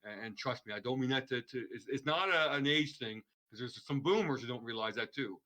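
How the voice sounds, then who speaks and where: A man's voice: mid-range voice, brisk, sharp tone. A man guesting on a podcast, talking earnestly and rambling in casual chat. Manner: earnest, rambling, restarts a lot. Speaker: streamer guest.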